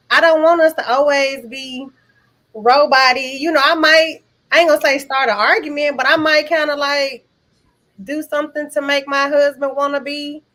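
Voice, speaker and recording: A woman's voice: high voice, sharp tone. A woman on a podcast panel talking candidly and casually in slangy, conversational language.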